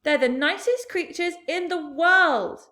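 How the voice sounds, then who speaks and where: A woman's voice: very high-pitched, unhurried, pushed and bright, voice pushed up, heavily acted. A woman voicing a small, cheerful, friendly boy in a storybook reading.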